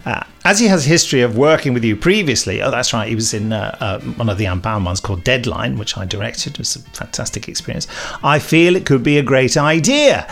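Wry podcast host, slightly creaky voice. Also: male voice, mid-range voice, lively intonation, brisk, hardly any pauses, fairly bright tone. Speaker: podcast host, audio drama director and composer. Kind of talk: casual podcast chat, reading listener emails.

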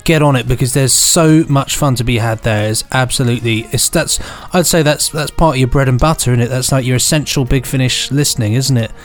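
A man's voice: medium pitch, brisk, hardly any pauses, soft background music. A man hosting a podcast in a casual, bantering way, often repeating himself for effect. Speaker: podcast host. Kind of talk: casual, jokey podcast chat.

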